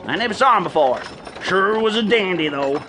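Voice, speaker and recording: An actor playing a grizzled, joshing old stagecoach driver in a radio drama, with a folksy drawl. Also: male voice, high voice, expressive, brisk, noisy background.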